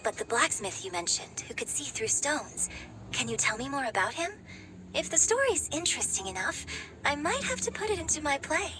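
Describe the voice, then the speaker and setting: A woman's voice: high voice, very animated, normal speed, sharp tone, full character voice, low-quality recording. A woman playing a poised, playful young female stage performer in a video game, polite, articulate and sweetly teasing. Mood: curious. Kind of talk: animated video game voice acting. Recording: game music behind the voice. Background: game music.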